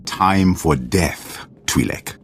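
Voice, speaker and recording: An actor playing a hulking, threatening brute in a game, speaking slowly. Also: male voice, low voice, a crowd in the background, full character voice. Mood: menacing. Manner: slow, threatening.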